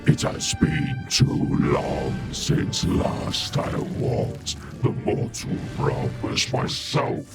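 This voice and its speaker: A man playing a huge ancient demon in an audio horror drama, gravelly, imperious and menacing, with formal, theatrical speech. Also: a bass voice, sing-song, drawn-out words, hardly any pauses, heavily acted. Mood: sinister gratitude. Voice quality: gravelly, creaking. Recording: loud dramatic music behind.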